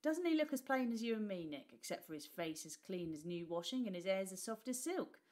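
A woman reading a storybook aloud, putting on a rough, mocking old widow of the London poor who talks coarse and brash. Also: medium pitch, animated, a little fast, darkish tone, natural voice. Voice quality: coarse, scoffing delivery with dropped sounds.

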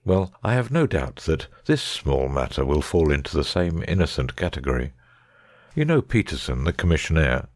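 A man playing a keen, analytical gentleman detective in a dramatic audiobook reading, precise, discursive and wry. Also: very deep voice, big pitch swings, normal speed, no echo, studio quality, heavily acted. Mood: explaining.

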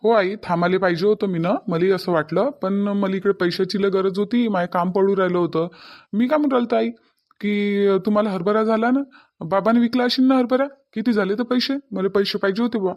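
A man's voice: sits high, fairly even intonation, a little fast, dull audio. A man voicing a homesick, troubled grown son in a cartoon, apologetic and complaining, with a Varhadi Marathi accent. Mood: apologetic, needy. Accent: Varhadi (Vidarbha) Marathi. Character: troubled grown son, homesick debt-ridden city worker. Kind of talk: cartoon family drama dialogue.

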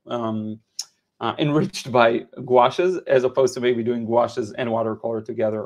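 Israeli guy in his 30s chatting thru a live watercolor lesson, lots of uhs and restarts, headset mic, home studio. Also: mid-range voice. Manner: relaxed, chatty, frequent "uh" and repeated words. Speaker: watercolor art teacher. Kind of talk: live-stream painting lesson with chat replies.

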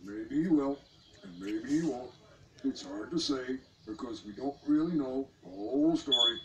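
A man voicing a calm, kindly, wise frog in a storybook, reassuring and unhurried. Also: medium pitch, background noise, natural voice. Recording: distant mic with music behind.

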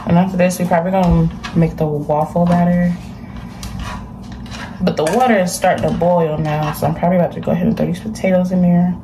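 A woman's voice: fairly low pitch, flat delivery, fairly quick, noisy background. A young woman in her twenties chatting casually to viewers while she cooks in her home kitchen, filmed by a camera across the room. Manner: chatty, talks straight to viewers. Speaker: cooking vlogger. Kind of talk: casual cook-along chat. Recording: echoey kitchen, distant mic, clattering pans. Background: dishes and pots clattering.

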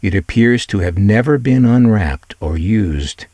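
Male presenter, scripted showcase read with wry asides, animated delivery, close dry sound. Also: lowish voice, unhurried, no echo. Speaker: presenter of vintage radio collection videos. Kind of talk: scripted narration, product showcase.

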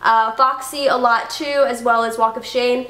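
A woman's voice: fairly high pitch, fairly even intonation, moderate pace, a bit bright, echoey room. A young woman with a stuffy cold chatting casually through a beauty favorites review, gushing and filling her talk with "like". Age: young adult. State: congested from a cold. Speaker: beauty vlogger. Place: bedroom, indoors.

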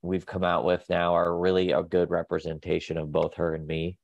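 A very low-pitched man with a nasal voice, sounding sick with a cold. He rambles and restarts often as a guest on a video call, and his voice comes across dry and close. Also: monotone, moderate pace, vocal fry, no room sound, dull audio. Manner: rambling, many fillers and restarts. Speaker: guest, pet nutrition company staff. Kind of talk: casual video call interview. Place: home office.

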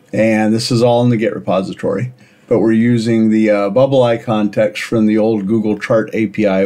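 A man casually walking through a software demo over a screen recording, with plenty of ums and uhs, for his ham radio hobby. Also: lowish voice. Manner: relaxed, filler-heavy explaining.

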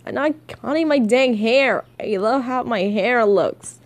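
A woman's voice: on the high side, unhurried, natural voice. A woman voicing a cocky, defiant teenage boy villain in a comic dub, with a blunt, sassy manner.

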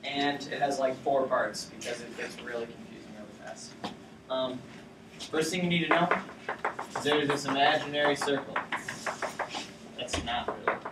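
A man's voice: medium pitch, normal speed, pauses often. Young man, casual sailing rules talk, roomy classroom, distant mic, noisy background. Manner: casual, explanatory, with frequent fillers. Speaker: sailing team presenter. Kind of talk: informal lecture to a student sailing team. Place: lecture classroom with a projector screen. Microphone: far camera at the back of the room.

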